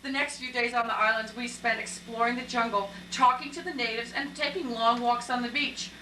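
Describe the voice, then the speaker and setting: Romantic, dramatic young woman played by a woman, bright voice, amateur play heard from afar in an echoey room. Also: a little high, steady tone, a little fast. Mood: dreamy, romantic. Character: romantic, excitable young woman, Eleanor, reading her column aloud. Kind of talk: amateur stage play acting. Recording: echoey hall, distant mic, faint noise.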